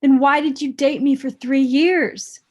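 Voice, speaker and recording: Woman as a blunt, higher-voiced prickly ex. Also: unhurried. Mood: angry, demanding. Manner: blunt, deadpan, pressing. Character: blunt, resentful ex-girlfriend, prickly and deadpan. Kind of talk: table read of a comedy script.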